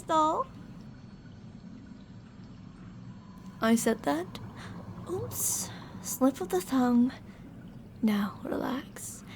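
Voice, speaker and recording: High-pitched sweetly menacing yandere scientist played by a woman. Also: darkish tone, slight background noise, exaggerated voice. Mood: playful, taunting. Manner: coaxing, domineering, sweetly menacing pet-name talk. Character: two teasing, possessive female yandere mad scientists holding a captive. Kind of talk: ASMR roleplay.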